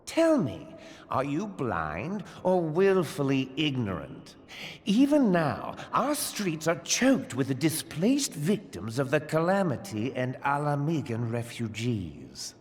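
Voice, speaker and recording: Haughty, sarcastic merchant lord, swooping intonation. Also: male voice, on the high side, huge pitch swings, big-room sound, heavily acted. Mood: scornful. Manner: pompous, condescending, measured formal speech. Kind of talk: theatrical fantasy game cutscene acting.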